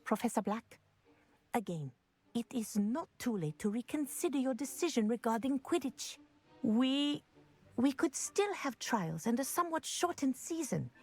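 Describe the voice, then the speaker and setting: Indignant flying teacher, very husky, breathy edge, lively pitch, insistent, fantasy game. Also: female voice, moderate pace, soft background music, heavily acted. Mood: insistent, exasperated. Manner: insistent, emphatic, exasperated. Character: indignant, persistent sports-loving flying teacher. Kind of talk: video game voice acting.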